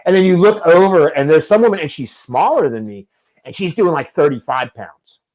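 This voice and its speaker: A man's voice: on the high side, lively intonation, moderate pace, darkish tone, poor audio. Rambling self-mocking man in his fifties. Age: 50s. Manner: rambling, self-deprecating storyteller. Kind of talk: casual chat.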